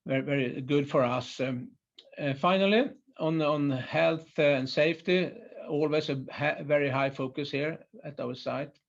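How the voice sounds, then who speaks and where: A man's voice: fairly high pitch, normal speed, creaky. A man with a Swedish accent presenting his company's site in a webinar, hesitant, with many fillers and restarts. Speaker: site manager presenting a chemical plant. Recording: muffled lo-fi webinar audio.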